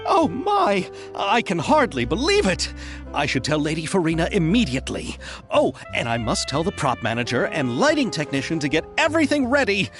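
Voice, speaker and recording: An eager young male film director in a video game. He speaks earnestly and politely in a highish, very animated voice, over light music. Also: normal speed. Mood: overjoyed. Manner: earnest, courteous, excitable.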